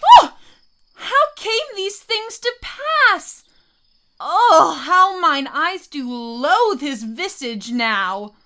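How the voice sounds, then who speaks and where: A woman plays an enchanted fairy queen in a Shakespeare radio drama. The voice is high and unhurried, shaping the verse lyrically with royal feeling. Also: big pitch swings, a bit bright, exaggerated voice. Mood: shocked. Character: doting, regal fairy queen under a love spell. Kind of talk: amateur Shakespeare radio play acting.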